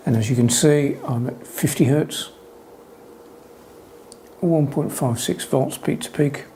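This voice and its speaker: A man giving a hobbyist's spoken equipment demonstration, calling out readings in a measured, deliberate, matter-of-fact way. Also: mid-range voice, mellow, slight background noise, low-quality recording.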